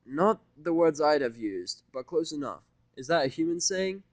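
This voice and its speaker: Brash, excitable storybook girl pony, performed by a man, pitched up, cheeky and slangy, dry recording. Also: moderate pace, gravelly, no room sound. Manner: slangy, emphatic, boastful.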